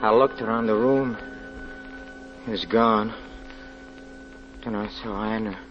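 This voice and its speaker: An actor playing a haunted, desperate husband confessing a terrifying tale in halting, repetitive, melodramatic 1940s radio style. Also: male voice, on the low side, fairly even intonation, faint music behind. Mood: shaken, hushed. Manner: halting, repeats words, intensely melodramatic. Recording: muffled, noisy vintage 1940s radio recording.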